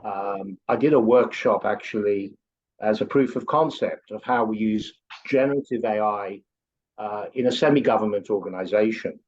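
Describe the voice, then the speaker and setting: An older man with an English accent, chatting at length as a course co-instructor, his voice swinging up high as he talks. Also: normal speed, a little hiss or hum. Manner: chatty and rambling, with big upward swings in pitch. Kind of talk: promotional video-call chat. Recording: dull lo-fi call audio.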